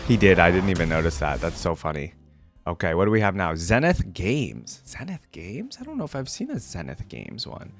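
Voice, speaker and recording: Deep-voiced man with lively, swinging pitch. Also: moderate pace. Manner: slangy, musing aloud. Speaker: reaction video host. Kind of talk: casual off-the-cuff reaction commentary. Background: music and video game sounds.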